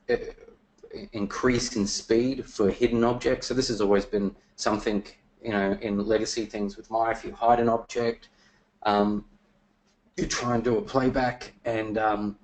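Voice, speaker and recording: A man presenting software features in a webinar, talking casually with many fillers, his voice getting worn and losing strength. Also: lowish voice, rough recording. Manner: casual, many fillers and restarts, throat clearing.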